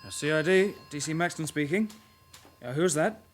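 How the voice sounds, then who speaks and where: Eager young British police sidekick male actor, radio drama; fairly high voice, polite and hesitant, faint noise behind. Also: moderate pace, close to an everyday voice. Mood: polite, flustered. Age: young adult.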